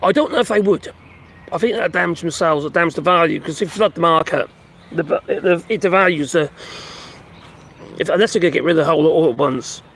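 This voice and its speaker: Gravelly man, losing his voice. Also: on the high side, fairly bright tone, a little hiss or hum. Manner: rambling, halting, many fillers and restarts; talks to his pets between remarks. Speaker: livestream host reading and commenting on news. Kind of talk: casual live commentary on news. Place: at home with animals nearby.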